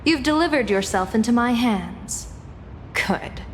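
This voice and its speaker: Slow, stately female general. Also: on the high side, expressive, a little hiss or hum. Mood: cool, menacing. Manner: formal, deliberate, imperious. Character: commanding female general, stern, poised and accusing. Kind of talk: dramatic game voice acting. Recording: long reverb, loud music behind.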